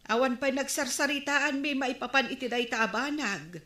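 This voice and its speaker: Ilocano village wife in radio comedy, sharp bright voice, roomy sound. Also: female voice, sits high, normal speed. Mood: conversational. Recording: roomy, echoing studio sound.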